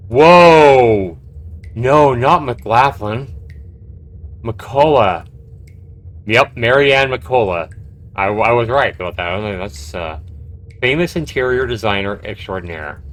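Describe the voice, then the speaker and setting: A man's voice: medium pitch, expressive, slow pace, pauses often. A man live-streaming a game, reading its text aloud and adding casual, reactive commentary. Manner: reactive, conversational.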